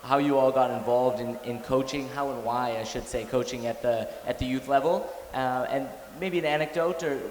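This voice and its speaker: A man moderating a conference panel, introducing guests in a measured, formal way with some fillers. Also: medium pitch, darkish tone. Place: conference hall. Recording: echoey hall with faint noise.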